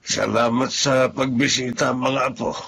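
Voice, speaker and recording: A man playing a kindly, humble old benefactor and father in a Filipino radio drama, speaking gently and earnestly. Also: medium pitch, fairly even intonation, measured pace, vocal fry, slight background noise. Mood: grateful. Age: older. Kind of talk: Filipino radio drama acting with narrated monologue. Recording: music behind the voice.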